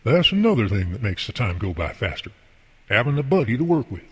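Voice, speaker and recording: Guy playing folksy preachy workshop boss in a radio play, kinda husky, big reverb. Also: medium pitch, sing-song, a little fast, fairly bright tone. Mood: friendly, earnest. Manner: folksy, preachy, explaining at length. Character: folksy, devout male boss and craftsman, earnest mentor. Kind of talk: amateur radio drama dialogue. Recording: long hall reverb.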